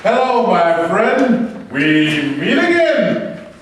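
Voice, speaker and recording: A mocking ghost of a murdered man, played by a male stage actor, drawls his taunts slowly and with relish. His voice sits high for a man and sneers as it goes. It is heard far from the mic in a very echoey room. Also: big pitch swings, slight background noise, heavily acted. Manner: taunting, sneering, laughing villainously. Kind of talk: stage play reading. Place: large room at a staged reading.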